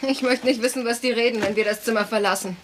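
A woman's voice: fairly high pitch, fairly even intonation, fast, a little hiss or hum, lightly acted. An actress playing a glum, exasperated single woman who complains, in a dubbed sitcom. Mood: wry. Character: glum, grumbling single woman after a breakup. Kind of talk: German dubbed sitcom acting.

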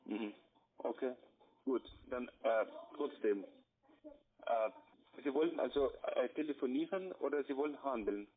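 Call center guy, mid-pitched, keeps repeating himself, halting but insistent, thin phone sound. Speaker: call centre salesman. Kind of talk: argumentative sales phone call. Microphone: telephone call. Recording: tinny narrow-band phone line.

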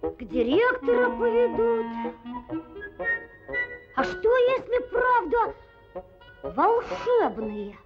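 A woman voicing a naive, excitable schoolboy in an animated cartoon, with dramatic exclamations. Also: very high voice, extremely slow, pauses often, full character voice. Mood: sulky, doubtful. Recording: muffled old film soundtrack with music.

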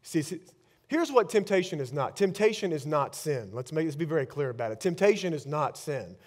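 High-voiced animated preacher, man. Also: a little fast. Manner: animated preaching, swings from loud to hushed. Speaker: pastor. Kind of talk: sermon. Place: large church stage. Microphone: headset mic.

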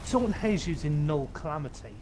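A man's voice: fairly high pitch, animated, fairly quick, over music. A man leading a game raid over voice chat, joking and swearing casually. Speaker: raid leader.

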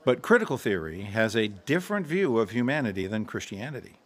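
An actor playing an earnest pastor leading a Bible study in a radio drama, measured and explanatory. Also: male voice, lowish voice, very animated.

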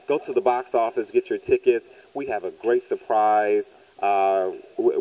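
A man in his thirties from southern Louisiana speaks in a fairly low voice. He is a producer giving conversational interview answers into a handheld microphone. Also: darkish tone, poor audio. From southern Louisiana, United States. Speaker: stage play producer and writer. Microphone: handheld microphone held by interviewer.

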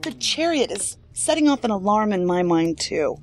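A woman's voice: mid-range voice, very animated, normal speed, slight background noise. An actress playing a thoughtful, astute, serious female investigator in an audio drama.

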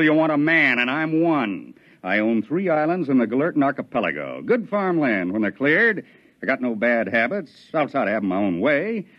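Self-assured plain talking settler, old radio drama, voice moves around a lot, muffled sound. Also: male voice, medium pitch. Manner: blunt, folksy, self-assured. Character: brash, confident, plain-spoken male Venusian frontiersman stowaway. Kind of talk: 1950s radio drama acting. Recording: dull 1950s radio recording.

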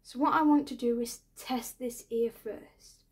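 Slow, higher-pitched young woman, soft ASMR. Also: fairly even intonation, very noisy. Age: 20s. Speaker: ASMR creator playing an ear doctor. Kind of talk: ASMR medical roleplay, soft-spoken. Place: small bedroom, indoors.

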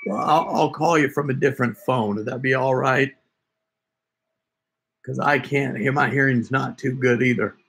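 A man in his forties with an American accent, chatting casually to a camera in a halting, half-muttering way. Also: medium pitch, steady tone, gravelly, fairly bright tone. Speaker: prank caller and video host. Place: home room with wood shelves, indoors. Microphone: desk camera at arm's length.

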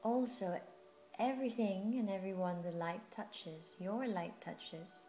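A woman with a mid-pitched voice leads a guided meditation over music. She speaks soothingly and without hurry, leaving long pauses between short phrases, in the manner of a yoga teacher. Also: dark tone. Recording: music playing behind the voice.

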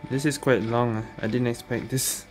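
A man's voice: medium pitch, fairly even intonation, moderate pace. A man giving casual commentary while playing through a video game. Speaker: game streamer. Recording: game music behind voice.

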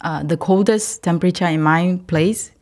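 Low-voiced woman from Myanmar. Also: normal speed. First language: not English. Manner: thoughtful, soft laughs between phrases. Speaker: guest, PhD student. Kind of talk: conversational interview answers. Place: indoor podcast recording.

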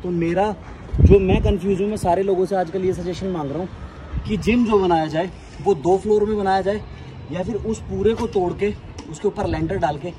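A man's voice: high-pitched, a little fast. A young man talking casually to camera as a fitness vlogger, explaining things directly to viewers. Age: young adult. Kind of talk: casual vlog talk to camera. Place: unfinished building, rooftop and open balcony. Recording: clear background noise, outdoor ambience, traffic.

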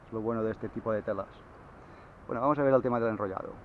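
Relaxed man explaining on a kayak. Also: fairly low pitch. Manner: relaxed, explains slowly with fillers. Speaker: kayak sailing instructor. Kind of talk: casual how-to explanation on the water. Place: on a sail kayak at sea. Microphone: action camera mounted on the kayak. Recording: dull lo-fi camera audio, faint wind.

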